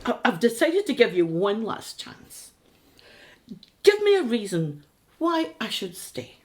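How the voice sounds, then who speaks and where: A practical, sceptical middle-aged British wife in a radio drama. Her voice is high and very animated, full of exasperation and sarcasm. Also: slow pace. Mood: hurt, exasperated. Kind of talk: radio play acting.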